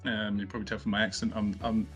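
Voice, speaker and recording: A man's voice: fairly low pitch, level tone, fast, husky, muffled recording, soft background music. A middle-aged man, a company co-founder, answering interview questions casually, with many fillers and restarts. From not from Australia, studied in the UK. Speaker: company co-founder, podcast guest.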